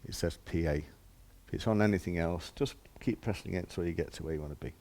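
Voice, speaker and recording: A man's voice: deep voice, fast, a little mellow. A man giving an informal hands-on demonstration as a shop presenter, explaining step by step in plain, casual words.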